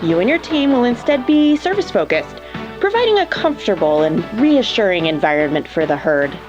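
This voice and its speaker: Woman as a lively, polished corporate operations chief. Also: mid-range voice, fairly quick, muffled recording, lightly acted. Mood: polished, reassuring. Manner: polished, instructional. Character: polished, reassuring female corporate head of operations. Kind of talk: scripted corporate training narration. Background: music.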